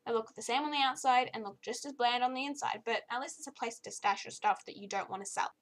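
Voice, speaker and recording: A young woman with a slightly croaky voice, giving a chatty, easily exasperated video game review with lots of fillers. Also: a little high, fast talker. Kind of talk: casual spoken game review.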